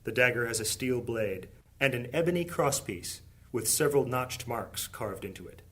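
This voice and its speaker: A man narrating an audio drama in a steady, descriptive way. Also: mid-range voice, moderate pace. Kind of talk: scripted narration.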